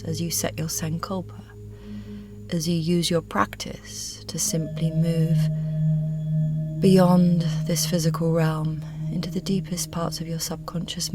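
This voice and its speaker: Slow, hushed woman guiding meditation. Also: sits low. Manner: soothing, hushed, often breathy near whisper. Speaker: yoga nidra meditation guide. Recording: music bed with singing bowl tones. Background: meditation music with singing bowls.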